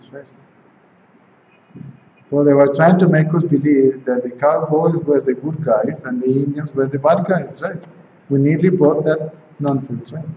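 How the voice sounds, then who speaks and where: A man in his forties from Venezuela, with a Venezuelan Spanish accent, giving an informal spiritual talk at home, often asking "right?" and "see?". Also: fairly high pitch. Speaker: spiritual teacher. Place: home room with tiled walls, indoors. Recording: roomy, dull camera sound, faint hiss.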